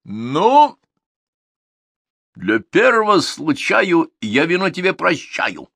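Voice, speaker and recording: Man doing a fairy-tale tsar, old, bearded, furious, yelling high, voice leaping all over, dry close sound. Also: sing-song, takes it slowly, gravelly, no echo, full character voice. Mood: mocking. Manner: shouting, threatening.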